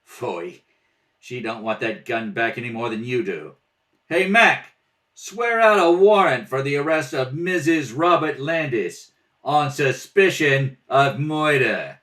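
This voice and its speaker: A man's voice: medium pitch, animated, slow, pushed and bright. A man playing a blunt, brusque police lieutenant interrogating suspects in an old-time radio detective play. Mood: forceful, exasperated. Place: home kitchen table. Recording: distant home rehearsal recording.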